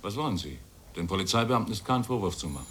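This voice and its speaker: A man's voice: fairly low pitch, lively intonation, quick pace, a bit bright, slight background noise. An actor playing a brusque, formal male official in a German radio drama. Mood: brusque, impatient. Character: brusque male official closing a police case.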